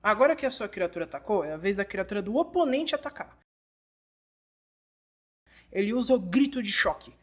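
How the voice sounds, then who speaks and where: Female tutorial narrator, Brazilian Portuguese accent, mid-range pitch. Scripted, explanatory read addressed straight to the learner. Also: lively intonation, brisk, pauses often. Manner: explanatory, addresses the listener directly. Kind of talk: scripted instructional narration, fan dub.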